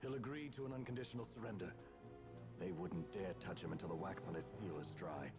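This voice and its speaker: An actor playing a stern, steadfast military captain in a video game, with a commanding, resolute manner. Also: male voice, deep voice, brisk, over loud music, close to an everyday voice. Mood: stern resolve. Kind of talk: video game drama acting.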